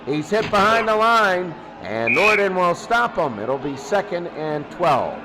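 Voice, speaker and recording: A man doing excitable play-by-play commentary of a football game, repeating phrases and drawing out his calls. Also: high-pitched, measured pace. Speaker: sports commentator.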